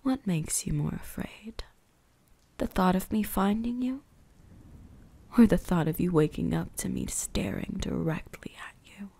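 A woman voicing an ancient, predatory and possessive vampire huntress in an ASMR roleplay, breathy and often whispering, with a teasing, menacing manner. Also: on the low side, lively intonation, measured pace, warm tone, full character voice. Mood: predatory, taunting. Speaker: voice actress.

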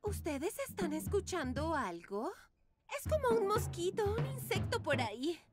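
A woman voicing a vain, scheming teenage diva in a cartoon dub, haughty and gloating, with theatrical drawn-out phrasing. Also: extremely high pitch, very animated, over loud music, heavily acted. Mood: haughty, mocking. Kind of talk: dubbed cartoon acting.

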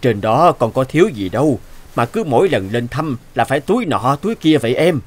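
An actor playing a patient middle-aged father in a cartoon, gentle but firm and reasoning. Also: medium pitch, a little fast. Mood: mildly chiding. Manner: calm, reasoning.

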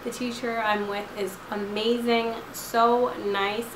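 Slightly hoarse young woman chatting to camera. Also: a little high, little pitch movement, slow pace, echoey room, noisy background. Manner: chatty, rambling, lots of fillers. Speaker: vlogger. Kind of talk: casual chat to camera. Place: living room couch at night. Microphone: camera at close range.

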